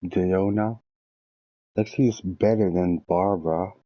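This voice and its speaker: A man's voice: low-pitched, steady tone, slow, mellow, no room sound. A man giving casual, informal gameplay commentary as a streamer, thinking aloud as he plays. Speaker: video game streamer. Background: game music.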